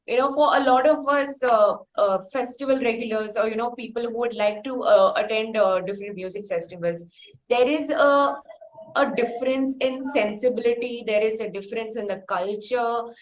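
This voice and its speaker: A woman with an Indian accent interviewing in a conversational style, with wordy, halting phrasing. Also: a little high. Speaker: podcast interviewer. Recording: roomy echo, faint background noise.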